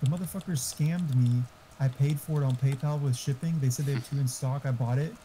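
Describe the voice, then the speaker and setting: A man's voice: mid-range voice, a little fast, dark tone. A man chatting casually over an online game, talkative and profane, explaining tech. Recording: faint background noise.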